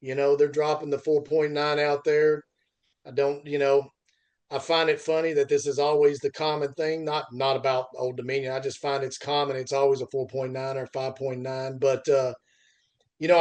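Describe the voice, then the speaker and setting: A man's voice: on the high side, fairly even intonation, normal speed, a little mellow. A middle-aged man chatting casually as a podcast host, rambling and often circling back over his phrases. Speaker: podcast host, freight industry veteran.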